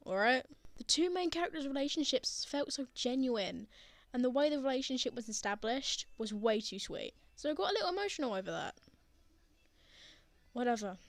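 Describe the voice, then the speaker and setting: A woman's voice: sits high, fairly bright tone, no room sound, heavily acted. A young woman playing a teasing, bashful but tender college student in an intimate roleplay audio drama. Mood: defensive, teasing. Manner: playful teasing with bashful hesitations.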